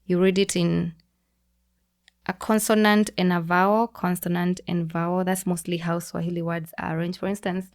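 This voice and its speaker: A young woman with a Kenyan accent, a podcast guest explaining things in a relaxed, teacherly way with frequent restarts. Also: on the low side, moderate pace. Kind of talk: casual conversational explaining.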